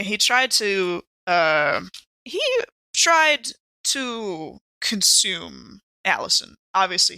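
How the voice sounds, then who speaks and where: A woman playing a wry, exasperated survivor in an improvised horror audio drama, with a halting, sardonic delivery. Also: mid-range voice, sharp tone, no echo.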